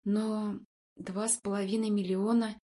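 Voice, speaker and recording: A woman voicing a shaken, vulnerable female patient in an audiobook drama, speaking in a halting, emotional way. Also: medium pitch, flat delivery, dark tone, natural voice. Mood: stunned, fragile.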